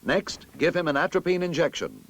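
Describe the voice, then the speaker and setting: American man narrating, animated instructional delivery. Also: fairly high pitch, fairly quick. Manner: authoritative, instructive, clearly enunciated. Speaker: narrator of a military training film. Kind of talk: scripted instructional narration, vintage army training film.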